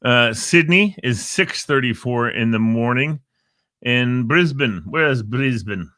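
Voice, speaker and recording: A man's voice: mid-range voice, lively intonation, slow, pushed and bright, no room sound, studio quality. A man hosting a casual show, rambling playfully with jokey asides about times and weather. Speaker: show host. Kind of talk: casual live show chat. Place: Northern Virginia.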